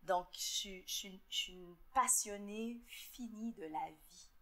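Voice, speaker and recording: A woman's voice: medium pitch, takes it slowly, pushed and bright. Woman, Québécois accent, interviewee, earnest, reflective, hesitant fillers. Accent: Québécois French. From Montreal, Quebec. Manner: earnest and reflective, with frequent fillers and repeated words. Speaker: interviewee, entrepreneur. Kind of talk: interview answers.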